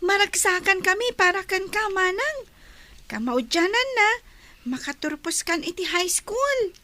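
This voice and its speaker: A woman's voice: very high-pitched, expressive, measured pace, bright, forceful tone, exaggerated voice. A woman playing a sweet, eager young schoolchild, the younger sibling in a radio drama. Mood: proud. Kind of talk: Ilocano radio drama acting.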